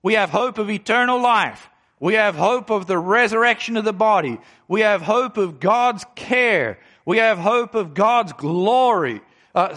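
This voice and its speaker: Man in his 40s preaching, fairly high voice, leans hard on key words and reads out bible verses. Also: pushed and bright. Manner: emphatic preaching, slows down to stress key phrases; reads scripture aloud and explains it. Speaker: pastor. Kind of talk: sermon.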